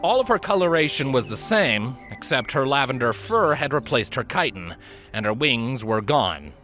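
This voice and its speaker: A man's voice: mid-range voice, sing-song, moderate pace, sharp tone, faint music behind. A man reading a fan fiction story aloud as a scripted narrator.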